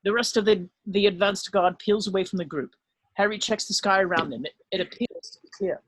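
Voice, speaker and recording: A man's voice: sits high, fairly quick, no room sound, rough recording. A man narrating scripted stage directions at an amateur drama table read.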